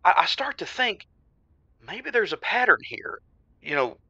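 A man's voice: high voice, sing-song, a little slow, creaky, pushed and bright, slightly rough, no echo, exaggerated voice. A man playing a hapless, lovestruck radio caller who talks earnestly about his troubles, on a slightly dull line.

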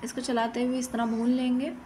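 A woman's voice: a little high, level tone, a little fast, warm tone, a little hiss or hum. A woman giving plain-spoken, step-by-step instructions in a recipe voice-over as host of a cooking channel.

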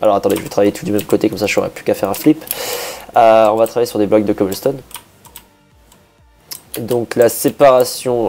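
Hoarse man thinking aloud while building. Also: lowish voice, dark tone. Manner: thinking aloud, many hesitations and restarts. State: hoarse, a bit sick. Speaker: Minecraft builder. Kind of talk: casual building commentary.

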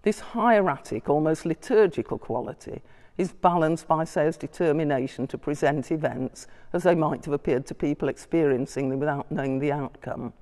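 An older woman giving a lecture read from notes in a large church, measured and wry, recorded from a far camera. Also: low-pitched, a little mellow. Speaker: guest lecturer. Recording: long church reverb, distant.

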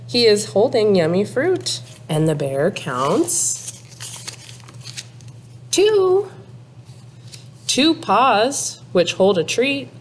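Woman in her thirties, children's read-aloud, sing-song, very animated, exaggerated emphasis, faint room noise. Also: mid-range voice, very slow, pauses often. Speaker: teacher reading aloud to young children. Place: small classroom, indoors.

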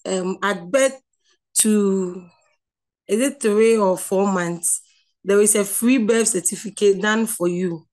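A woman lecturer with a Ghanaian accent talks through slides on a video call. She explains patiently, repeating herself and restarting sentences. Her voice sits at an ordinary middle pitch. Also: a little mellow, poor audio. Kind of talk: online lecture over slides.

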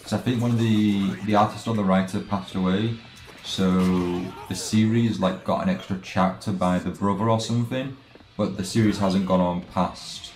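A man streaming a video game, chatting casually and haltingly with viewers as he plays, often trailing off and restarting. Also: low voice, steady tone, a little mellow. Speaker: let's play streamer. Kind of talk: casual live game commentary and chat with viewers. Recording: roomy echo, game music behind. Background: video game music.

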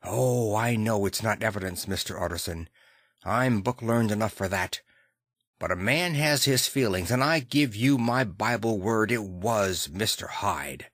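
A man's voice: lowish voice, a little slow, fairly bright tone, no room sound, clean studio sound. A man doing an anxious, deferential old-time butler. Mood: fearful, earnest. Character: anxious, deferential Victorian male butler, plain-spoken and superstitious.

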